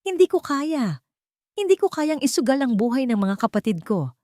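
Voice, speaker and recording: A woman's voice: sits high, sing-song, moderate pace, dark tone, dry recording, pitched above the performer's own voice. A desperate young woman acted out by a female narrator in an audio love drama, protective and fearful. Her trembling voice swoops upward with strong emotion in a dry recording. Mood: tearful, desperate. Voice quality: trembling, breaking.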